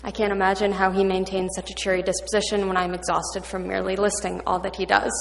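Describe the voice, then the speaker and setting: Woman moderator, flat even delivery, formal bios, long hall reverb. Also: medium pitch, quick pace, creaky, a little hiss or hum. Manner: reading formal bios with light asides. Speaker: panel moderator. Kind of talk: introducing panelists. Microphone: microphone.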